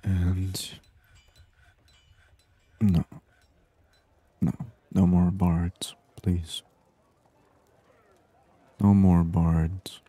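A man gives hushed ASMR game commentary in short, murmured phrases, thinking aloud at a slow pace. His voice is very dark and breathy, captured close up and dry. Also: sits low, little pitch movement, dry recording. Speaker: gaming streamer. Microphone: close binaural microphone.

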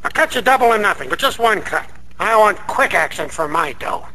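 An actor playing a meek, dreamy American night clerk in a radio drama, earnest and naive in manner, star-struck by gamblers. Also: male voice, sits high, sing-song, a little fast, sharp tone, full character voice, rough recording. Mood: excited daydream.

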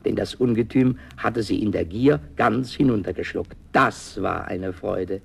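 A man narrating a fairy tale as a storyteller, expressive and theatrical, in a dramatic scripted style. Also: fairly low pitch, unhurried, creaky, breathy edge.

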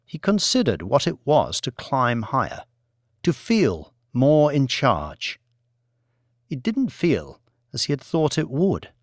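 A man reading fiction as an audiobook narrator, in a measured, dramatic storytelling manner. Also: medium pitch, no echo, studio quality.

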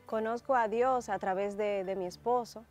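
A Dominican woman in her thirties giving a personal testimony to camera in a studio, composed and measured. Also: mid-range voice, steady tone, a little mellow, soft background music. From Dominican Republic. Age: 30s. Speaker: guest. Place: TV studio, dark backdrop. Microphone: lapel mic.

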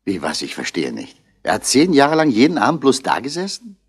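An actor playing a dry, probing Paris police inspector in a 1960s German radio crime drama, questioning tersely. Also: male voice, medium pitch, huge pitch swings, a little fast, breathy edge. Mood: probing, brisk.

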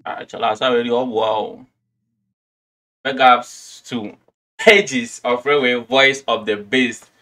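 A young man with a Ghanaian accent, giving animated, slangy commentary as host of a casual music reaction. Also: medium pitch, takes it slowly. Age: young adult. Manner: animated, slangy, repeats lyrics. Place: small furnished room at home. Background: some hip hop music.

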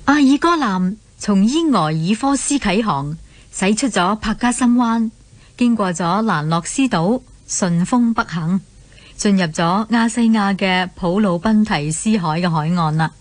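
A woman with a Hong Kong accent tells a scripted myth as a radio drama narrator. Her pitch is medium and the sound is dry, with no room echo. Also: expressive, unhurried.

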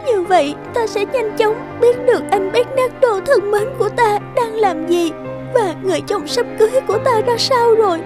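A woman voicing a gentle, anxious young maiden in a cartoon fairy tale, politely and plaintively. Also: extremely high pitch, normal speed, over music. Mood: pleading, wistful.

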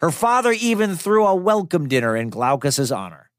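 A man's voice: a little high, sing-song, normal speed, no echo, clean studio sound. A man narrating a children's myth as storyteller, in a playful, conversational way. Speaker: storyteller host.

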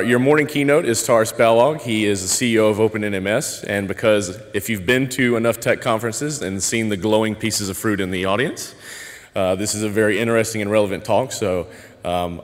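Male organizer at the mic, relaxed and halting, low voice, long hall reverb. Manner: casual, filler-heavy announcing. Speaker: conference organizer introducing the speaker. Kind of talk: event announcements and introduction. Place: large hotel ballroom.

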